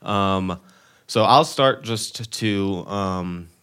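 A man's voice: deep voice, very slow, a bit bright, clean tone. An eighteen-year-old American man from Tennessee hosting a casual group podcast chat. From Tennessee, United States. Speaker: podcast host.

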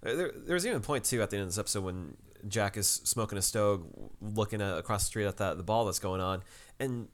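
Young male co-host, lowish voice, relaxed dry humor, quick laughs. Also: a little hiss or hum. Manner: laid-back, laughs often, dry remarks. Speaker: podcast co-host. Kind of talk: casual TV recap chat.

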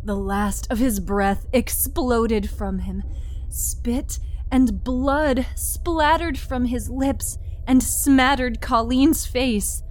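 A woman narrating dramatic horror fiction with deliberate, suspenseful phrasing. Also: a little high, a little mellow, low-quality recording.

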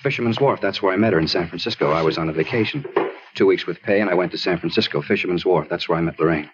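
An American actor playing an anxious, evasive young man in a 1950s radio crime drama, husky, repetitive and insistent. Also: low-pitched, fast, background noise, natural voice. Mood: rambling. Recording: dull vintage 1950s radio recording.

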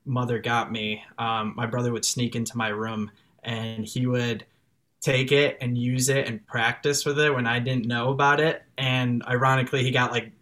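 A young man with a Chicago accent, French his first language, talks easily as a guest on a video call. He laughs often and restarts his sentences, relaxed and chatty. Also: mid-range voice, moderate pace. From Chicago, raised in France till six. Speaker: musician guest. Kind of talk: casual interview over video call.

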